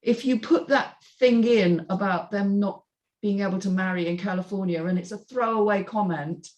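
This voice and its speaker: A woman's voice: medium pitch, normal speed, a little mellow. A British woman hosting a casual panel discussion, talking thoughtfully and conversationally, often seeking agreement. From Britain. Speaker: host of a script reading panel.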